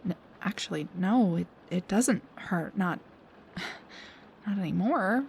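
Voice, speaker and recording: A young woman playing a snarky, high-strung research assistant in an intimate audio roleplay, with a halting, stammering, dramatic delivery. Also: lively intonation, vocal fry, a little mellow, a little hiss or hum. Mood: subdued, puzzled. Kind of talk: intimate one-sided audio roleplay acting.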